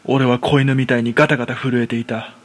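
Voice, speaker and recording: A man playing a jittery, self-deprecating recluse in his thirties, narrating a first-person horror story for a radio drama in rough, colloquial speech. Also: mid-range voice, little pitch movement, fast talker, heavy background noise, lightly acted. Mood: frightened.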